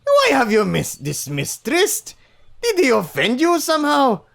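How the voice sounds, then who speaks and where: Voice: high voice, huge pitch swings, unhurried, vocal fry, a bit bright, pitched above the performer's own voice, full character voice. A performer voicing a stern but caring old male wolf chief and father in a story, with a commanding manner and an attempted Scottish accent. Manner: commanding, blustering. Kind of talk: amateur character voice in story read-aloud.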